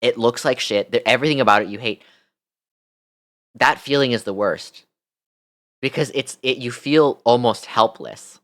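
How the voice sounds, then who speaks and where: A woman's voice: extremely low pitch, moderate pace, long pauses. A woman streamer reacts in a casual, conversational way. She is blunt and swears freely, yet speaks with open sympathy for the people she comments on.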